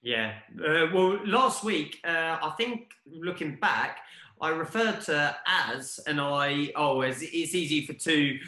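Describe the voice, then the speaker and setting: Earnest man, bright voice in a roomy space. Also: fairly high pitch, lively intonation. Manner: halting, earnest, self-correcting. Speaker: podcast co-host. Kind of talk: podcast conversation. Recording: roomy echo.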